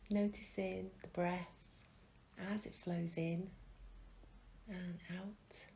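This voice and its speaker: Slow, low-pitched woman guiding meditation. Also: vocal fry. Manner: calm, gentle, unhurried with pauses. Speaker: mindfulness meditation teacher. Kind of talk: guided meditation. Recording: faint background noise.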